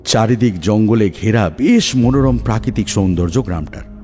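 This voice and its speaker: A man's voice: on the low side, moderate pace. A man narrating a scripted horror story dramatically in the first person. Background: music, rain.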